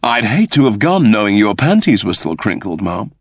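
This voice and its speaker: A courteous male android servant from a sci-fi audiobook, acted out by a man. He is soothing and deferential, cheerfully understating everything in a very lively melody. The voice is slightly husky. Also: lowish voice, moderate pace, no echo, heavily acted. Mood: unruffled, formal.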